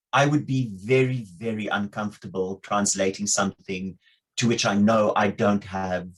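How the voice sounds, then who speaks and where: A man's voice: on the low side, breathy edge, low-quality recording. A man speaking as a panelist on a video call, thoughtful and earnest, often repeating words as he works through a point. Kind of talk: panel discussion.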